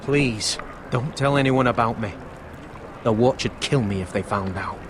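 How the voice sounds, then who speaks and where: An actor playing a friendly, uneasy young wildling man in a video game, speaking in a halting, confiding way. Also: medium pitch, natural voice. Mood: earnest, guarded. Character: friendly young wildling man hiding among sworn watchmen, earnest, uneasy. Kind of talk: dramatic video game voice acting. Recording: clearly noisy outdoor ambience.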